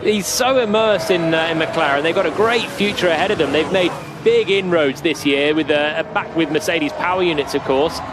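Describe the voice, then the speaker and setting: A man's voice: fairly high pitch, moderate pace. Conversational male co-commentator, engine noise behind. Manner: conversational, thoughtful asides. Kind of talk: analytical race commentary.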